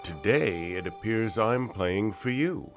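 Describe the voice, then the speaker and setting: A man playing a graceful, charming fantasy bard with a silky smooth voice and an elegant, courtly manner. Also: lowish voice, animated, soft background music. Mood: suave. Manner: unhurried, elegant.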